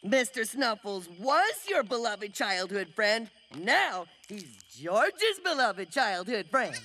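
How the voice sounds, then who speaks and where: A woman's voice: a little high, big pitch swings, slow, bright, forceful tone, exaggerated voice. A woman voicing a dim-witted, cheerful jungle man in a cartoon, simple-minded and talking about himself in the third person. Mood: blunt, carefree. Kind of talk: animated cartoon voice acting. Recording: music behind the voice.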